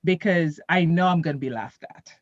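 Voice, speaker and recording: A woman's voice: deep voice, normal speed, no room sound, lo-fi sound. A woman co-hosting a radio talk show, giving motivational encouragement and often asking "right?". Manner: motivational, animated, repeats "right?" and "guess what?". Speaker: radio co-host. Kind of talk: casual radio chat.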